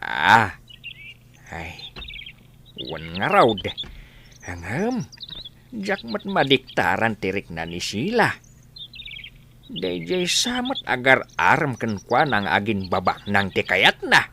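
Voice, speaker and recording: Meddling old father played by a man, Ilocano accent, very swooping pitch, sharp forward tone, radio comedy drama. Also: wildly swooping pitch, takes it slowly, vocal fry, pauses often, slight background noise, heavily acted. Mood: grumbling, exclaiming. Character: meddling, excitable old village father of a courted daughter.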